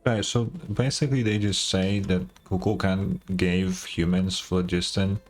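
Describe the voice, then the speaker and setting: A man's voice: deep voice, steady tone, normal speed, music in the background. A man giving casual reaction commentary as a gaming streamer, informal, wry and sweary.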